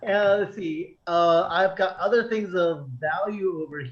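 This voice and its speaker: A man's voice: high voice, unhurried. A man playing a tabletop game, talking casually and a bit hesitantly. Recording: dull, lo-fi audio.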